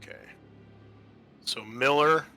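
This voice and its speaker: A man's voice: mid-range voice, takes it slowly, sharp tone, soft background music. A man refereeing a tabletop game casually as game master, in a hesitant, apologetic way.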